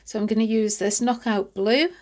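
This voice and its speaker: Woman, stuffy nasal voice, congested, chatty craft host, relaxed with ums. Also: on the high side, normal speed. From United Kingdom. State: nasal and congested from hay fever. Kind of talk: casual craft demonstration. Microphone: overhead camera on hands, voice-over.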